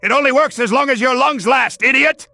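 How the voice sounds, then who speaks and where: Cartoon evil scientist, shrill, forceful, creaky, bombastic, hot-tempered. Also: male voice, fairly quick, dry recording, full character voice. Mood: enraged. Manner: bombastic, indignant shouting. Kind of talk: comic fan dub voice acting.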